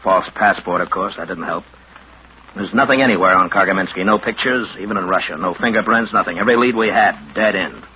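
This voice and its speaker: A muffled vintage radio recording with faint noise presents a hard-nosed American government agent acted by a man. His voice is deep. He speaks in blunt, official, clipped phrases, in the manner of an old radio thriller. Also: brisk, close to an everyday voice. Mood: grave briefing. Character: tough, businesslike American government counter-spy agent. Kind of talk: mid-century American radio drama acting.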